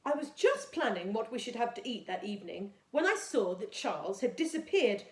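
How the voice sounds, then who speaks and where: A woman's voice: fairly high pitch, very animated, a little fast, darkish tone. A woman voicing a snobbish, bossy, well-to-do mother from a storybook, prim and disapproving. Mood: stern, imperious. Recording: echoey, roomy sound.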